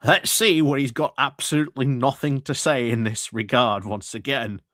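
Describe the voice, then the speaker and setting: A man's voice: medium pitch, moderate pace, fairly bright tone, dry recording, clean studio sound. A man doing live reaction commentary over council footage, sarcastic and laughing at what he hears.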